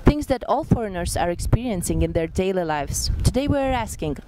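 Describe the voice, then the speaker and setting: A woman's voice: medium pitch, a little fast, noisy background. A woman reporter reading a formal scripted news introduction. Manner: formal, steady. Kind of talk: scripted narration.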